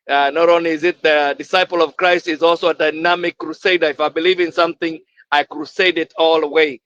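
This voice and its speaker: A man hosts a live online session, piling up words in an exuberant, preaching cadence. His voice sits a little high for a man, and the audio is dull and lo-fi, as over a video call. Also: a bit bright. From Kenya. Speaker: host. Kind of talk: enthusiastic livestream hosting.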